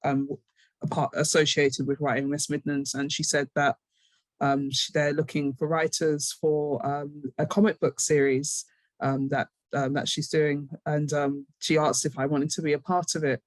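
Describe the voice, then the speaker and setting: A woman's voice: deep voice, little pitch movement, moderate pace, no echo, poor audio. A British woman speaking casually as an interview guest, a little hesitant and self-deprecating.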